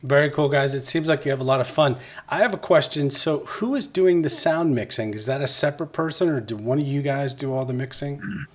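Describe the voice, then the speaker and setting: A man with a medium-pitched voice hosts a podcast. He puts questions to his guests in a casual, conversational way. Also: a little fast, slight background noise. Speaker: podcast host, interviewer.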